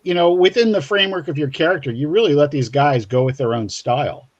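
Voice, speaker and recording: Higher-pitched man, dull lo-fi sound. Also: quick pace. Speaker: show co-host. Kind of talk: casual chat.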